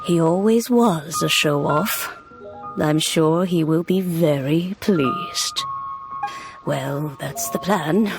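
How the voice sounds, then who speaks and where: An actress playing a serene, wise elderly woman with a measured, fondly amused manner in a video game. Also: lowish voice, full character voice. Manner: measured, fond, knowing. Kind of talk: video game character dialogue. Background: music.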